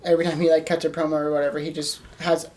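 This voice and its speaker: A woman's voice: deep voice, level tone, racing, warm tone. A woman co-hosting a podcast in casual conversation. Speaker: podcast co-host. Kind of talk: casual wrestling discussion.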